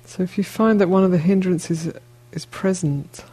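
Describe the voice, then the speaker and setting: A woman teaching a guided meditation, speaking gently and unhurriedly in short phrases with long pauses between them. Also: fairly low pitch, slightly rough.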